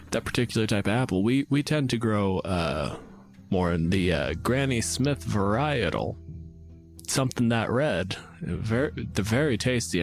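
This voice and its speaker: A man playing a courteous, officious town guardsman in a tabletop game, hedging as he speaks in an official manner. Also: on the low side.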